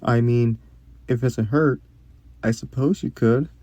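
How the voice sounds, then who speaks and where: A man playing a polite, gentle young prince in a romantic fantasy audio roleplay, speaking formally and a little hesitantly. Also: medium pitch, unhurried, dry recording, natural voice. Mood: flustered, shy. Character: young prince, courteous, gentle, shyly curious royal.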